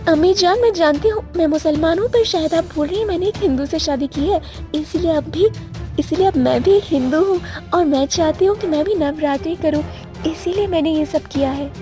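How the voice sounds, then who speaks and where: Young bride role, earnest and eager to please, played by a woman with a high, mellow voice over faint music. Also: fairly quick. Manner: pleading, eager. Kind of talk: animated moral story dubbing. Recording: music bed behind voice.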